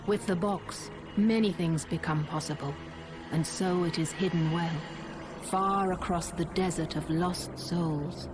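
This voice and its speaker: Low-voiced, stately goddess played by a woman. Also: steady tone, music in the background, lightly acted. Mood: grave, guiding. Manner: grave, formal, deliberate. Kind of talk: video game cutscene acting.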